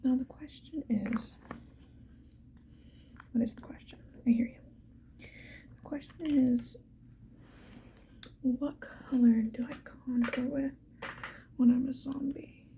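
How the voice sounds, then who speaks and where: A woman speaking mostly in a breathy whisper, chatting casually to the viewer in an ASMR get-ready style. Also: high-pitched, steady tone, slow pace, pauses often, mellow, dull audio, a little hiss or hum. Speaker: ASMR video creator.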